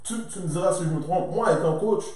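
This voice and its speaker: Male co-host with a slightly high voice, chatty, recorded far from the mic in a reverberant room. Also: fast talker, over loud music. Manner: mixes English interjections, eager backchannels. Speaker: co-host, coach. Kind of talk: casual podcast chat. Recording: very echoey room, distant mic.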